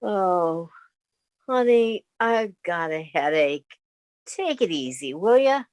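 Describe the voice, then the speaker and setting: A woman's voice: fairly low pitch, animated, slow pace, a little mellow, no room sound. A woman playing a sensible, weary young roommate, caring and wry, in a 1950s-style radio comedy. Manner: motherly, exasperated, wry.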